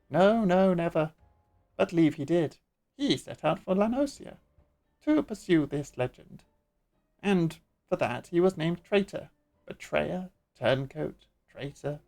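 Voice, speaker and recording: Gravelly, fussy kobold sage. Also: male voice, on the high side, darkish tone, heavily acted. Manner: repeats words in strings of synonyms. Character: eccentric male kobold lore-keeper, cryptic and fussy. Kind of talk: video game cutscene voice acting.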